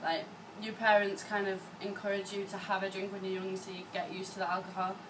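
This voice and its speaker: A woman's voice: medium pitch, completely flat delivery, a little fast. A young woman, a student chatting casually in an interview. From Manchester, England. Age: young adult. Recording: distant mic with background noise.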